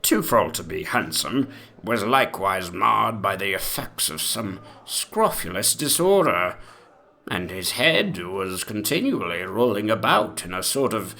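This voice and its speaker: A man playing a very old, self-important English gentleman, reading an ornate antique memoir in a deliberate, pompous storytelling manner. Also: on the low side, very animated, creaky, heavily acted. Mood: fastidious, haughty.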